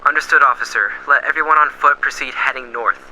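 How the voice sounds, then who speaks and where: A man playing a calm police dispatcher giving orders over the radio. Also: medium pitch, fast, heavy background noise, natural voice. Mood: steady. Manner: crisp, procedural radio talk. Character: calm male police dispatcher, steady and procedural. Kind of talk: amateur radio drama acting.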